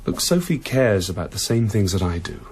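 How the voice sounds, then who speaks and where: Radio drama son, young man, lowish lively voice, earnest and pressing, faint noise behind. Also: moderate pace. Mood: defensive, pressing. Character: earnest, defensive young poet, grown son. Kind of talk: naturalistic radio drama acting.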